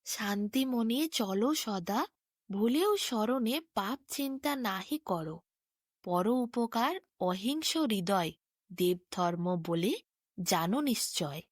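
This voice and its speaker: A woman voicing a gentle, wise young prince who speaks in an earnest, measured way, as in a storybook. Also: medium pitch, animated, no echo, clean studio sound. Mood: solemn, serene. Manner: earnest, measured moral counsel.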